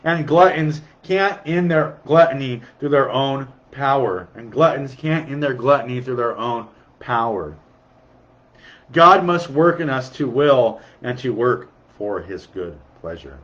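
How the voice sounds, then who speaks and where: A man's voice: mid-range voice, lively intonation, unhurried, a bit bright, lo-fi sound. Sniffly man giving an earnest sermon. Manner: earnest, repeats key lines for emphasis. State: sniffly, under the weather. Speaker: recovery devotional speaker. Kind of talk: reflective recovery sermon.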